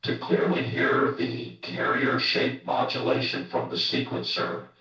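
A man's voice: a little slow, bright, forceful tone, very echoey, distant mic, dull audio, faint music behind. A man giving an instructional demonstration through a noise vocoder that makes him sound whispery and robotic. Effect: vocoder with noise carrier, whispery robotic.

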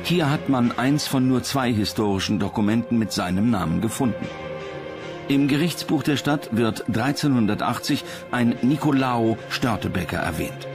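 A man's voice: mid-range voice, gravelly. A man reading scripted documentary narration.

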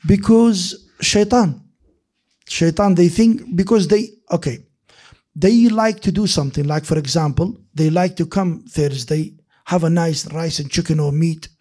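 A man's voice: a little high, a little slow. A middle-aged man giving a seated hadith lesson in a mosque at a desk microphone, talking with his hands and often restarting words. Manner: animated, gestures with his hands while explaining; repeats and restarts words, mixes in Arabic phrases. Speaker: hadith teacher. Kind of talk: classroom lecture. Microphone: desk microphone on a stand.

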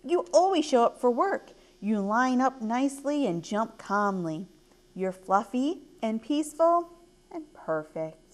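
Woman as a high-voiced, pleading sleepless little girl. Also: very animated, a little slow. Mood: earnest, pleading. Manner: pleading, earnest.

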